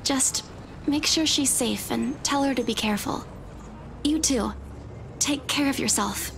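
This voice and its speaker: Woman acting a high-voiced worried young woman. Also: unhurried, creaky, slight background noise. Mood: worried, guarded. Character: worried young woman, gentle, guarded, loyal friend.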